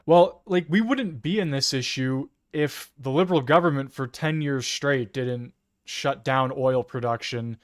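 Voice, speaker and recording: A man's voice: medium pitch, measured pace, no room sound, clean studio sound. A man with a Canadian accent giving casual, opinionated live political commentary as an informal co-host.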